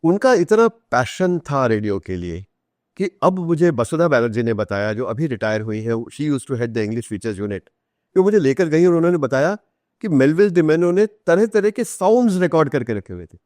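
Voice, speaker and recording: Indian man storytelling, slightly high close dry voice. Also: big pitch swings, normal speed, a little mellow, dry recording. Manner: switches between Hindi and English. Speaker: author, podcast guest. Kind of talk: conversational storytelling in an interview.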